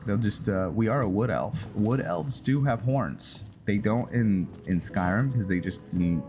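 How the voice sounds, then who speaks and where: A man casually commenting on his roleplay playthrough of a game, thinking aloud with hesitant pauses, game music behind him. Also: lowish voice. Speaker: gamer narrating a roleplay playthrough.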